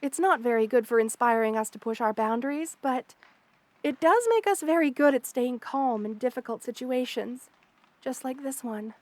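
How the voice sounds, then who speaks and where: A woman voicing a kind, motherly spider queen in an ASMR roleplay, soft-spoken, doting and reassuring as she speaks to the listener. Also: high voice, moderate pace, no echo, close to an everyday voice. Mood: gentle, pleased. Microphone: close studio mic, dry.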